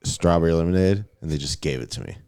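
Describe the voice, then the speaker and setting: A man's voice: deep voice, fast, darkish tone, smooth. A man co-hosting a comedy podcast, easygoing, plugging shows. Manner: short agreeing replies, easygoing. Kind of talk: casual podcast chat.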